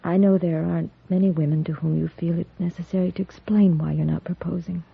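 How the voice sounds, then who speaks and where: Frontier woman doctor, restrained and wistful with some creak, on a thin, phone-like vintage radio recording. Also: fairly low pitch, steady tone, quick pace, exaggerated voice. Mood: wistful, tired. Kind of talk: 1950s radio Western acting.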